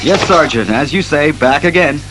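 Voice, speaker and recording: An actor playing an earnest, methodical masked detective hero who reasons aloud in a declamatory audio drama style. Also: male voice, on the high side, lively intonation, over loud music, close to an everyday voice, rough recording. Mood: earnest, resolute. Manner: deliberate, declamatory deduction.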